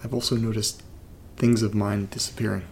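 A male actor plays an uneasy, reluctant man in a horror audio drama. His voice sits fairly low, with a heavy gravelly fry. He speaks haltingly and naturally, as if muttering to himself, over a faint rumbling noise. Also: little pitch movement. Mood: uneasy, subdued. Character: uneasy, reluctant man exploring a creepy place and reading strange letters. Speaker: main character and narrator of a horror audio drama. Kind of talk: naturalistic found-recording audio drama monologue, partly reading a letter aloud.

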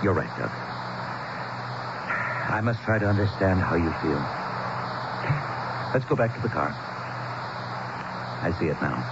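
An actor playing an earnest, anxious, educated town leader, speaking formally and haltingly as he explains, in a radio drama. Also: low-pitched, expressive, vocal fry, heavily acted. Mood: resigned. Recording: muffled, noisy 1970s radio broadcast.

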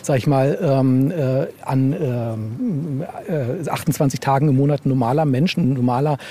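A man's voice: mid-range voice, normal speed, mellow, husky. A man talking casually as an interviewed author, searching for words and often adding tag questions. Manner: hesitant, searching delivery with frequent tag questions.

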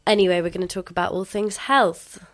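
A woman's voice: lowish voice, brisk, a little hiss or hum. A woman hosting a fitness podcast, chatty and friendly, sounding a bit stuffy from a cold. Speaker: podcast host. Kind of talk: casual interview chat.

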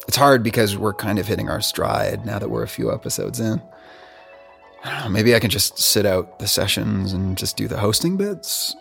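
An actor playing a stressed, self-doubting podcast host with an American accent, in hesitant, naturalistic audio drama dialogue. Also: male voice, on the low side, vocal fry. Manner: hesitant, searching, conversational.

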